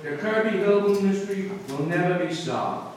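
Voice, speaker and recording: A man performs a skeptical, scornful police detective from an old radio play. His voice is on the high side and curt, caught far off in a hall full of echo. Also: lively intonation, normal speed. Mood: dismissive, curt. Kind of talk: 1940s radio acting. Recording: distant echoey hall, faint noise.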